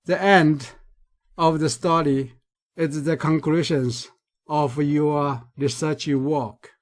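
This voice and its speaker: Chinese-accented male researcher, lecture voice-over, unhurried and careful, slightly raised pitch. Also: steady tone. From China. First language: Mandarin Chinese. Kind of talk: recorded lecture.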